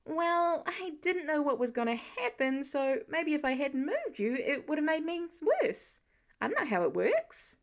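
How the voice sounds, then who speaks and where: A woman voicing an excitable, awkward teenage boy superhero who rambles eagerly in an audiobook-style story. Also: sits high, unhurried, pitched above the performer's own voice, full character voice. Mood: earnest, chatty.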